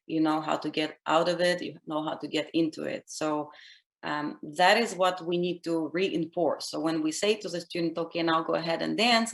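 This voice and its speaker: A woman's voice: deep voice, vocal fry, a bit bright. A woman, a Russian speaker, explaining dance teaching as an interview guest, earnest and step by step. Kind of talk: conversational interview answer.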